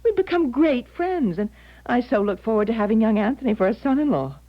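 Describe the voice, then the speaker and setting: Radio-play mother: middle-aged, insistent, agitated woman with animated delivery, dull lo-fi broadcast sound. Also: on the high side, fairly quick. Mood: anxious. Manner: agitated, emphatic, theatrical. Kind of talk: 1970s radio drama acting.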